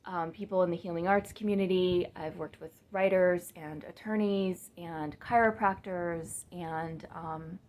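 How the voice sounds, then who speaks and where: A woman talking casually to her camera in a monotone, flat voice, rambling with fillers and restarts and poking fun at herself. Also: on the low side, measured pace, few pauses. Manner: rambling, many fillers and restarts, self-mocking. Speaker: vlogger and business coach. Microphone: camera mic, speaking to the lens.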